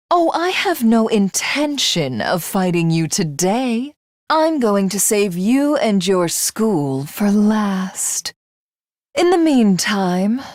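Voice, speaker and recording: A woman playing a megalomaniac cannibal alien villainess in a video game, haughty, menacing and theatrical, with a breathy rasp. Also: mid-range voice, fairly bright tone, no echo, clean studio sound. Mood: grandiose.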